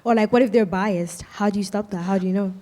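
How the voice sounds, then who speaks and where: Fast-talking young woman, blunt. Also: medium pitch, fairly even intonation, mellow. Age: young adult. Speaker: podcast guest. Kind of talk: casual panel discussion.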